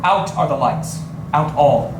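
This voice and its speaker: A performer giving a dramatic recitation of poetry, theatrical and deliberate, with drawn-out words and emphatic pauses. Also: mid-range voice, animated, noisy background. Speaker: stage performer reading poetry. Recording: roomy echo, loud music. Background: music.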